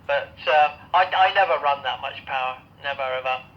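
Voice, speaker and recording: An English man talking casually and haltingly as an amateur radio operator over a two-way radio. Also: medium pitch. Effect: two-way radio transmission. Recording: dull, band-limited amateur radio audio.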